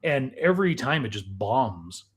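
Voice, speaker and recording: Conversational man with a medium-pitched voice. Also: big pitch swings, unhurried. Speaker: podcast co-host. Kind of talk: casual chat.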